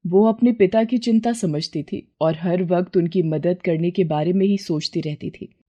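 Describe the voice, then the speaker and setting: A woman narrates a scripted moral story. Her tone is mellow and relaxed, at an average pitch. The delivery is that of a storyteller reading a tale aloud.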